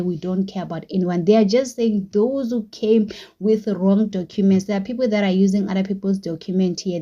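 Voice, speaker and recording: A woman's voice: mid-range voice, warm tone, muffled recording. A South African woman giving emphatic opinion commentary to her viewers, often calling them "guys". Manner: earnest, emphatic, keeps addressing viewers as "guys". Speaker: vlogger commentator.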